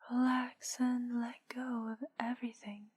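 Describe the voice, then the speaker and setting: A woman playing a soothing, seductive witch hypnotist in a soft, breathy whisper, in an ASMR roleplay. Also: fairly high pitch, little pitch movement. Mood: hypnotic, lulling. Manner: slow, soothing, drawn-out delivery.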